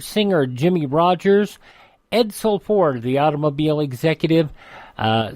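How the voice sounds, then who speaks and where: A man's voice: on the high side, darkish tone, no room sound. An American man hosting radio, reading a scripted rundown of events and dates in history. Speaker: radio host.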